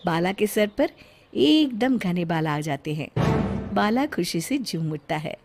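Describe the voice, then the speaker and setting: A woman narrating a children's cartoon story in a clear, even storytelling manner. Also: on the low side, unhurried, faint music behind.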